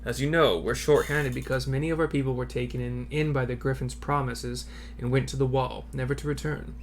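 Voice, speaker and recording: A man voicing a sober, cautious resistance soldier in a fantasy game, in a measured, grave manner. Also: mid-range voice, fairly even intonation, a little hiss or hum, natural voice.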